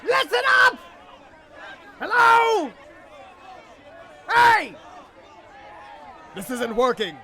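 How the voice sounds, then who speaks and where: A man playing a blustering, bossy, unhinged doctor in a comic audio drama, loud and bombastic. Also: very high-pitched, huge pitch swings, very slow, pauses often, a faint crowd, a little hiss or hum, full character voice. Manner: shouty, bombastic. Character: blustering, bossy, unhinged male proctologist boss.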